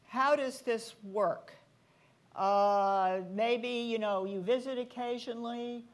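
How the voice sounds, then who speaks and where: A woman asking a panelist questions at a policy forum, conversational and inquisitive, with fillers. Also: medium pitch. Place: conference hall.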